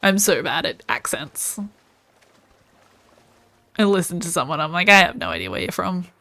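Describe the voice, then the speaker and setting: A woman's voice: fairly low pitch, animated, moderate pace, gravelly, pushed and bright, dry recording. An Australian woman chatting casually while streaming gameplay and answering viewers' comments.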